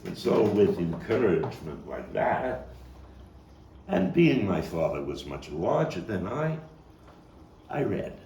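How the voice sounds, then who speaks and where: A man performing a theatrical monologue as a street-wise, bookish Brooklyn man recalling a hard childhood, halting and reflective with dramatic pauses. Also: deep voice, sing-song, creaky, warm tone, exaggerated voice. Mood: reflective, wry. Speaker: actor-playwright performing his monologue. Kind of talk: theatrical monologue at a table read. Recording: roomy, distant mic with faint noise.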